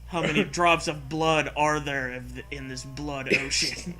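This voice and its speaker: A man's voice: a little high, level tone, unhurried, bright, forceful tone. A man doing a mocking dramatic reading with casual riffing, sarcastic, profane and prone to hammy emphasis. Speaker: comedy co-host reading fan fiction aloud.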